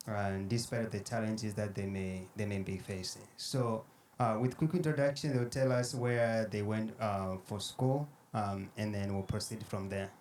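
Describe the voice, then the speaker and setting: A man from Kenya hosting a podcast late at night, tired and sleepy, talking in an unhurried way with many fillers. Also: on the low side, darkish tone, slight background noise. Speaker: podcast host. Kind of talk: casual podcast conversation.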